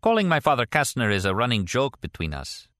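Man voicing a pert, teasing grown daughter, pitched up. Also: wildly swooping pitch, brisk, a bit bright, no echo, clean studio sound. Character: sharp, teasing adult daughter of a defector.

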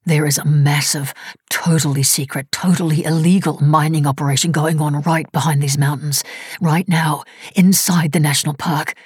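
A woman narrator voicing an injured, hunted man who talks in a hoarse, urgent, pleading rush. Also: a little high, steady tone, normal speed, a little mellow, no room sound, studio quality. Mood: frantic urging. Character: injured hunted young man, frantic and desperate.

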